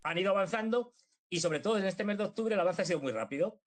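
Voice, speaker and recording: High-pitched man, halting live commentary. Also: animated. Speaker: talk show commentator. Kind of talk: casual live political commentary.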